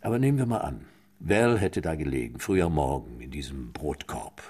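An actor playing a blunt, sarcastic police detective questioning suspects in a radio crime drama. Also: male voice, rumbling low, expressive, normal speed, a little mellow, slightly rough, heavily acted. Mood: pressing. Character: tough, sardonic male police detective.

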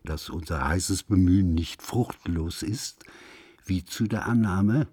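A man with a low, husky voice gives a solemn scripted reading of literary prose. He takes his time over each phrase, grave and declamatory in the way of a radio recitation. The pace is slow and deliberate. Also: mellow, dry recording, clean studio sound. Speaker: reader of a literary essay.

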